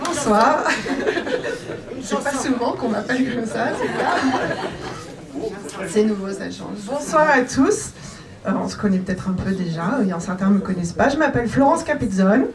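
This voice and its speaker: A woman's voice: a little high, expressive, moderate pace, a crowd in the background. Chatty woman in her forties, mic far away. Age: 40s. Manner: lightly joking, chatty. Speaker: municipal list candidate. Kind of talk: public self-introduction. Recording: distant mic at a public meeting.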